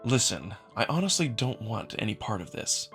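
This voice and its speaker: A man's voice: fairly low pitch, sing-song, moderate pace, a bit bright, soft background music. A man voicing a defiant, sarcastic human man in a visual novel, with blunt, curt delivery. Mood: bitter. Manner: blunt, curt, casual swearing. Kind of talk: visual novel dramatic reading.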